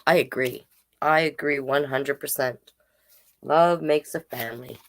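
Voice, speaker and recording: A woman hosting a children's storytime online, reading deliberately and adding casual, chatty asides. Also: rumbling low, creaky. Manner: slow, deliberate read-aloud delivery with chatty asides. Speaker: drag king storytime host.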